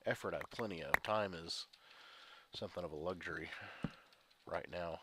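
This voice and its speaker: A man's voice: low-pitched, moderate pace. A man with a head cold, congested and sniffling, chatting casually over gameplay in a rambling, chuckling way. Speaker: gaming video creator. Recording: loud background noise and clicks.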